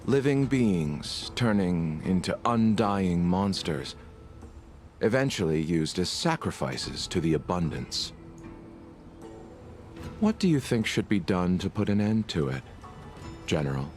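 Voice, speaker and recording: A man's voice: low voice, big pitch swings, faint music behind, full character voice. An actor playing a composed, scheming man who speaks formally and courteously in a video game. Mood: calm, persuasive. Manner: formal, measured, deliberate.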